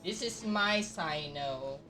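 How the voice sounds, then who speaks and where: A lively, expressive woman with a Filipino accent, chatting casually as she streams a game. She reacts freely and code-switches, with soft music behind her. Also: sits low, takes it slowly. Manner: reactive, switches between Tagalog and English. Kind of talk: casual livestream game commentary.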